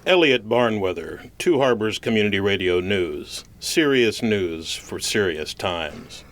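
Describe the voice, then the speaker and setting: A man's voice: fairly low pitch, expressive, a little slow, fairly bright tone. A man playing a self-serious radio newsman, with a grave, self-important announcer delivery.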